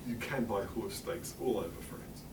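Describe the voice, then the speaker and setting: English male presenter, middle-aged, dry humour, low-ish, heard off-mic in a roomy hall. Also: moderate pace, far from the mic, background noise. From England. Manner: chatty, wry, many fillers and laughs. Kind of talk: illustrated library talk. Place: public library meeting room.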